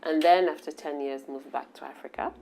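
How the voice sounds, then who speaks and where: Woman in her forties, from Cameroon, very deep voice, gravelly, measured and reflective, interview guest. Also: steady tone, a little mellow, slight background noise. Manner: measured and reflective, pausing to gather thoughts. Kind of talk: conversational interview answers.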